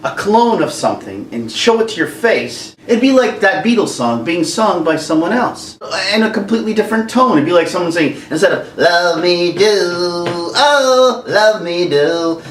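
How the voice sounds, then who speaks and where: A man hosting a casual rant to camera, jokey and animated, now and then slipping into silly singing. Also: high voice. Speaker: YouTube host. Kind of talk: ranting commentary to camera. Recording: echoey room, mic at a distance, faint background noise.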